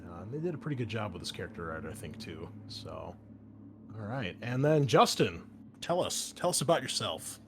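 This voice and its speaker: Male game master and host, casual chatty delivery, fairly low voice, noticeably noisy recording. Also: big pitch swings, normal speed, fairly bright tone. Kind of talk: casual chat introducing a tabletop game session. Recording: clear background noise.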